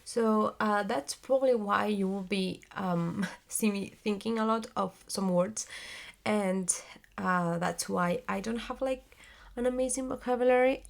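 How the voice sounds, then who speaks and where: Young woman, twenties, Spanish accent, slow and halting with plenty of fillers, a gravelly fry in the voice; casual vlog delivery. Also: mid-range voice. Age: 20s. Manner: hesitant, many fillers and restarts, searching for words. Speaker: vlogger and theater actress. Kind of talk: casual talking-to-camera vlog.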